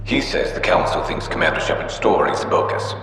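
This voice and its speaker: An actor playing an older alien veteran in a video game, a gruff, wary father speaking in a measured, confiding way. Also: fairly bright tone, soft background music, lightly acted. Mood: grave, confiding. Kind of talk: video game dramatic acting. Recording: very echoey hall, faint hum.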